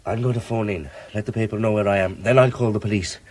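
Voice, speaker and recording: An actor in a radio drama playing a brisk, determined press reporter who takes charge. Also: male voice, fairly low pitch, fairly even intonation, a little mellow, natural voice. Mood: tense. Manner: brisk, purposeful. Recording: faint car and road noise.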